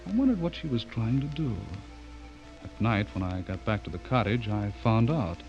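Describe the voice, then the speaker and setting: A polite, secretive bachelor in a radio thriller. His low voice moves expressively and carries a guarded, troubled air. The sound is dull and lo-fi, with music underneath. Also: very animated, moderate pace, darkish tone. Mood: brooding, troubled. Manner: polite, guarded, brooding when narrating. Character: courteous, uneasy older bachelor writer suspected of being a vampire. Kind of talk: radio drama acting with first-person narration.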